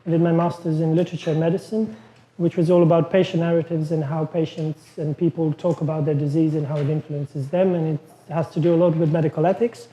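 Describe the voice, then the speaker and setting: A man's voice: a little high, level tone, quick pace, warm tone. A man in his thirties from Croatia, not a native English speaker, giving a loose, chatty conference talk as a lecturer. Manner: chatty, loose phrasing with fillers. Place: lecture room. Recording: echoey lecture room.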